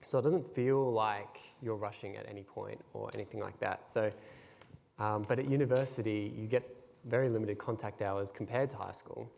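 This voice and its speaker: Low gravelly male student, hall echo. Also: steady tone, normal speed, darkish tone. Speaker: student panelist. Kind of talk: casual panel talk. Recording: long hall reverb.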